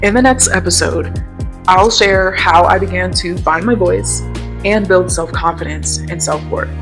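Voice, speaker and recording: A young woman speaks softly and earnestly, telling her own story in a gentle, reflective way. Her voice sits a little low for a woman. Faint music plays beneath her. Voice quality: soft-spoken. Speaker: podcast host. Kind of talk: personal storytelling monologue.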